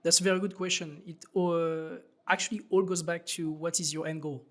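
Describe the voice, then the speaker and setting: French guy on a podcast, kinda high voice, lots of likes and ums. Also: level tone, normal speed, a bit bright. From France. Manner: loose, many fillers and restarts. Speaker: startup founder guest. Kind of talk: casual interview talk.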